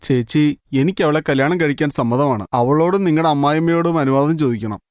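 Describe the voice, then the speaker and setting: A man's voice: fairly high pitch, brisk, no echo, studio quality, lightly acted. A man voicing a kind young man who speaks earnestly and sympathetically. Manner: gentle, earnest.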